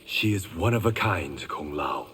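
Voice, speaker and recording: A stern mentor. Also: male voice, sits low, expressive, measured pace, big-room sound, very noisy. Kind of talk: video game dialogue.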